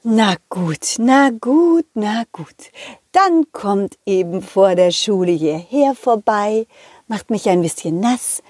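A woman voicing a sour, easily flustered lemon schoolteacher in a children's audio play, scolding and hesitant, with drawn-out words. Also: medium pitch, expressive, a little mellow, no echo, clean studio sound. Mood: giving in.

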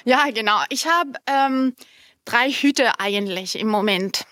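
A woman's voice: on the high side, a little slow, fairly bright tone, dry recording, studio quality. A woman who is a native Spanish speaker, half Colombian and half German, answering conversationally as a podcast guest. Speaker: podcast guest, corporate compliance manager.

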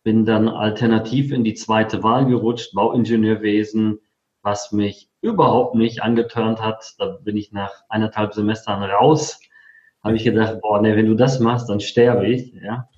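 A man's voice: lowish voice, flat delivery, unhurried, darkish tone. A forty-year-old man from Poland who grew up in Germany, talking casually about himself as an interview guest. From born in Poland, grew up in Germany. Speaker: interview guest, affiliate marketer. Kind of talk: casual autobiographical telling. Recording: roomy, slightly dull sound.

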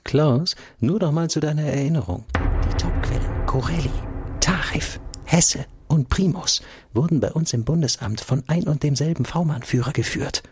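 An actor playing a beleaguered intelligence agency chief in a radio play, officious, defensive and agitated. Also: male voice, on the high side, normal speed, a little mellow, slight background noise, close to an everyday voice. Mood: agitated, exasperated. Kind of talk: radio drama acting.

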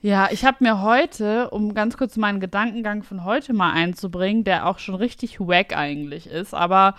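A woman in her late twenties hosting a podcast, thinking out loud in casual chat with a reflective, self-mocking manner. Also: medium pitch, normal speed, no room sound, studio quality. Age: late 20s. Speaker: podcast host.

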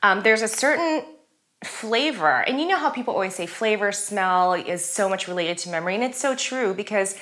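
A woman with a bright, clear voice talks about her childhood in an easy, effusive way. She grew up in Germany and spoke German as her first language, and she answers as a guest in a relaxed interview. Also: mid-range voice, normal speed. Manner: warm, effusive reminiscing. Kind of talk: casual interview conversation.

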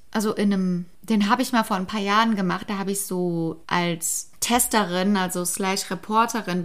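A woman in her thirties chatting casually, telling animated stories with many restarts and quoted voices. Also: mid-range voice. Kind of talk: casual chat.

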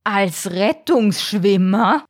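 A blustering father shark from a children's storybook, played by a woman reader. He is scornful and commanding, drawing out his indignant words slowly. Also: medium pitch, steady tone, darkish tone. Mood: stunned.

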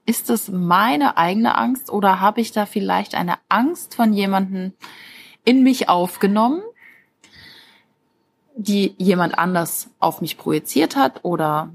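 A woman speaks in the manner of a life coach, at a middle pitch. She addresses the listener directly and encouragingly, in an informal monologue meant to motivate. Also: unhurried, gravelly, slightly rough. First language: German.

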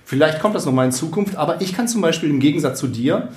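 A man's voice: on the high side, expressive, fast. A man co-hosting a casual chat, easygoing and a bit hesitant, using fillers. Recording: roomy echo.

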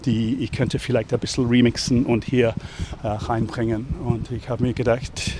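A man's voice: mid-range voice, flat delivery, moderate pace, noisy background. A man from England with an English accent, chatting hesitantly with many fillers while walking outdoors as a tour guide. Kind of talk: casual chat while walking. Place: outdoors on city streets.

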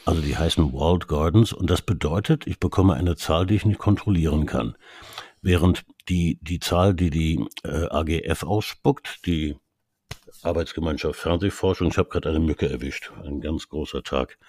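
Low, husky man. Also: normal speed, a little mellow, no room sound. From Canada. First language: English. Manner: hesitant, many restarts and fillers. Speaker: media expert guest. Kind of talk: casual expert conversation.

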